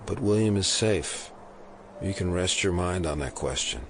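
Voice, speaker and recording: An actor playing a wry, stubborn federal agent in an audio drama, with a dry, wisecracking delivery. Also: male voice, low voice, animated, moderate pace, very noisy. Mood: earnest. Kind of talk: naturalistic audio drama acting.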